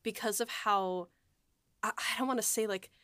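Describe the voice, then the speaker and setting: A woman's voice: mid-range voice, moderate pace, a bit bright, clear, resonant tone, dry recording, clean studio sound. A woman hosting a casual podcast chat, gushing and enthusiastic in how she talks. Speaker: podcast host and audio drama writer.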